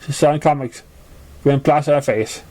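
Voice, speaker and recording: A man's voice: on the high side, moderate pace, warm tone. A man narrating a scripted story, sometimes stumbling over the words as he reads. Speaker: fan fiction reader.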